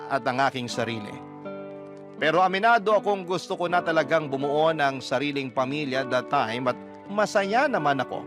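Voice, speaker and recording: A man with a slightly high voice and a Filipino accent narrates a letter for a radio drama. His storytelling is measured, set over clearly audible music. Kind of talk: dramatic first-person letter reading. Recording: prominent music bed under narration.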